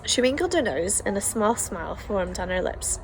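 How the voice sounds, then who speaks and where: A woman's voice: mid-range voice, big pitch swings, normal speed, slight background noise, rough recording. A woman reading a children's novel aloud as the first-person narrator, telling the story expressively. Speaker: audiobook reader.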